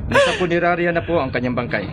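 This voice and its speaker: An actor playing a polite, sober police sergeant with a Filipino accent, formal and respectful in radio drama style. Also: male voice, fairly high pitch, huge pitch swings, quick pace, music in the background. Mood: grave, official.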